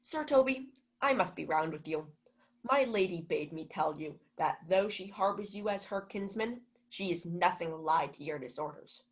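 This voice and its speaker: A woman's voice: lowish voice, expressive, moderate pace, lightly acted. A young woman playing a pompous, indignant male household steward in a Shakespeare radio play. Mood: indignant scolding. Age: young adult. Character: Malvolio, haughty scolding male household steward.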